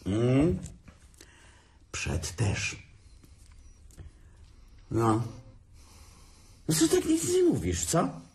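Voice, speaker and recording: An actor playing a sarcastic, bitter, tipsy divorced man who needles his brother haltingly in a radio drama. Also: mid-range voice, huge pitch swings, darkish tone, breathy edge, exaggerated voice. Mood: sly, provoking. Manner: sarcastic, taunting, chuckling, halting. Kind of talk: dramatic radio play acting.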